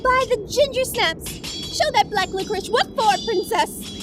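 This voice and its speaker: A woman voicing a tiny, prim, bossy fairy with a small squeaky voice in an audio drama. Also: moderate pace, over music. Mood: flustered. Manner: prim, exclamatory.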